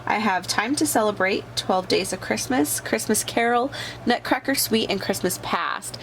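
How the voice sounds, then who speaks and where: A woman's voice: mid-range voice, moderate pace, a little hiss or hum, poor audio. A woman with a bad cold hosting a casual crafting tutorial, talking along chattily with many fillers as she works. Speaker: crafting channel host.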